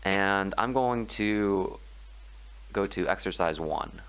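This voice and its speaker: Male tutor, low voice, unhurried and hesitant delivery, talking through a grammar lesson. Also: a bit bright, clear, resonant tone, low-quality recording. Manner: slow, measured explaining with frequent ums and pauses. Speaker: language tutor. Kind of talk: screen-recorded grammar tutorial.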